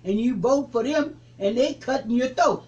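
A man in his seventies, a reverend, recalling the past in an interview with a preaching cadence that rises to forceful emphasis. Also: very high voice, normal speed, highs cut off. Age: 70s. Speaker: reverend, civil rights veteran. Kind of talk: interview reminiscence.

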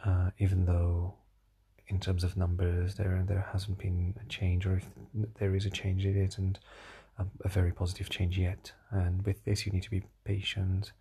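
Tired young guy vlogging, very deep flat voice, bit hoarse and husky, lots of ums. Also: moderate pace. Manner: rambling, hesitant, many fillers and restarts. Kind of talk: casual diary vlog.

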